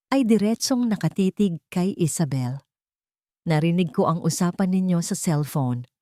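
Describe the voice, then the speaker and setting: A woman with a low, slightly bright voice narrates a scripted romance. The delivery is dramatic storytelling, and the sound is dry with no room around it. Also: no echo.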